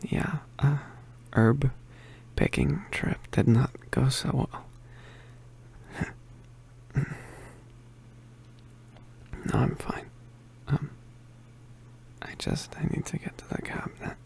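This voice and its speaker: A performer voicing an injured, poisoned young male witch in an ASMR roleplay, weak and apologetic, mostly whispering in halting, pained breaths. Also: mid-range voice, a little mellow, full character voice. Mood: pained, weary. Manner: halting, pained, with sighs and throat clearing.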